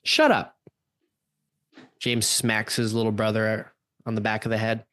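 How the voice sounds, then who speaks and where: A man's voice: lowish voice, completely flat delivery, moderate pace, fairly bright tone, no room sound, studio quality. A man narrating an improvised radio play in the present tense. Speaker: narrator and game-style player of a radio play.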